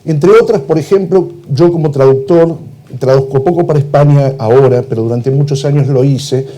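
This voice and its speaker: Argentine translator, middle-aged man, slightly high mellow voice, ironic lecture delivery. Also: moderate pace. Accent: Argentine Spanish. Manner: critical, ironic, with frequent "eh" and "este" fillers. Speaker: translator and cultural manager. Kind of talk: prepared talk at a cultural event.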